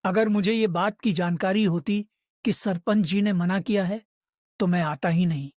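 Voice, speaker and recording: A man's voice: high voice, steady tone, normal speed, darkish tone, dry recording, clean studio sound, natural voice. A man voicing a poor, humble middle-aged village woodcutter in a cartoon, speaking in a pleading, deferential way. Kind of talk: Hindi moral cartoon dialogue.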